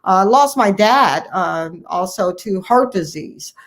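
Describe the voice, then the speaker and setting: A woman's voice: medium pitch, lively intonation, slow pace. A middle-aged American woman speaking as an interview guest, telling personal stories in a conversational way. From Cleveland, Ohio, United States. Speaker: interview guest, family physician.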